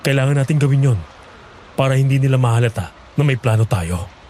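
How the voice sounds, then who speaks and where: A man playing a determined, protective young farmer in a horror audio drama, speaking in a measured, earnest way. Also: mid-range voice, expressive, warm tone, a little hiss or hum, lightly acted. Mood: plotting.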